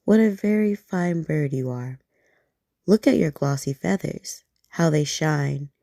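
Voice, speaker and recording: Sly male storybook fox, read by a woman, low, gravelly, oily flattery. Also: measured pace, dry recording, studio quality, full character voice. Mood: flattering. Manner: flattering, sweetly cunning.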